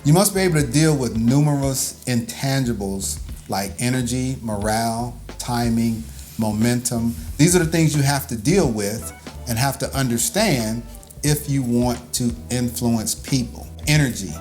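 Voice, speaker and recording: A middle-aged man speaks at an easy middle pitch, talking through leadership ideas as if chatting with his daughter. He teases lightly and chuckles between points. Faint music sits under the voice. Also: unhurried, a little mellow. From Birmingham, Alabama. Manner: teasing, laughs often. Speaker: father and video host. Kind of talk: casual teaching chat.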